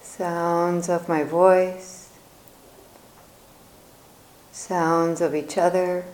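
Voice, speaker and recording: A woman leading a guided meditation as a teacher, speaking gently and soothingly in short phrases with long pauses. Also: on the low side, level tone, low-quality recording.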